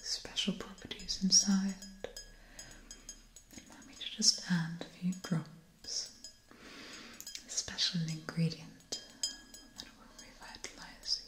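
A gentle guardian angel, acted by a young woman, whispers in a low, breathy voice. She is soothing and caring, taking her time over each phrase. It is a close binaural ASMR roleplay with a roomy sound. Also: steady tone, slow, pauses often. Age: young adult. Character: gentle female guardian angel tending a wounded warrior. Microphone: close binaural microphone.

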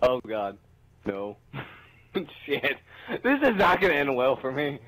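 A man gaming online with friends, excitable, swearing and laughing as he protests his deaths. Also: medium pitch, slow, a crowd in the background, low-quality recording. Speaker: gamer in party chat. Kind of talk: casual multiplayer banter.

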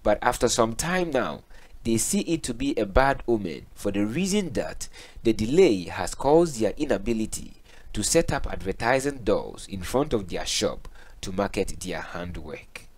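A man's voice: on the low side, big pitch swings, normal speed. A man with a Ghanaian accent reading a scripted news report as narrator.

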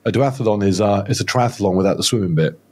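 Low husky man, about fifty. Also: fast, a little mellow. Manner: rambling, self-deprecating, many restarts. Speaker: guest. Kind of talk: casual podcast interview. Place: home studio room, indoors. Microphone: close studio mic with headphones, video call.

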